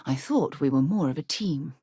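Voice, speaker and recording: A woman's voice: low voice, expressive, moderate pace, mellow, breathy edge, dry recording, clean studio sound, exaggerated voice. A woman with a British accent playing a composed, principled space explorer in a video game, speaking formally and earnestly with careful articulation. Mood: stern, disappointed. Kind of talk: video game voice acting, dramatic dialogue.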